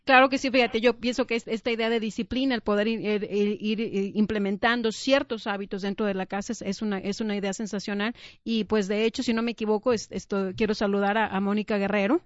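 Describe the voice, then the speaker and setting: A woman's voice: mid-range voice, fairly even intonation, fast, no room sound. Female live radio host, conversational and wordy, restarts phrases often. Very dry, slightly lo-fi sound. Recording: dull, dry radio recording.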